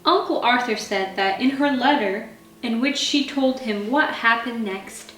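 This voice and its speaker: A woman's voice: on the high side, a little slow, fairly bright tone, slight background noise. A woman reading a children's bedtime story as a scripted narration. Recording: roomy, distant mic, faint music.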